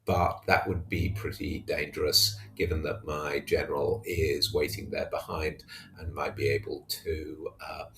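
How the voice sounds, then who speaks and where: A man giving an unscripted recap of a tabletop wargame in a hesitant, halting way. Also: extremely low pitch, little pitch movement, vocal fry, a bit bright, a little hiss or hum, rough recording. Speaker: tabletop wargamer narrating own battle report. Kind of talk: unscripted match recap commentary.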